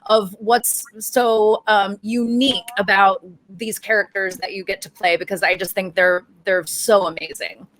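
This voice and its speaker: Bright upbeat woman host. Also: mid-range voice, steady tone, rough recording. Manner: upbeat, encouraging, quick affirmations. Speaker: radio host. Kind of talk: hosting a group video call interview. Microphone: video call.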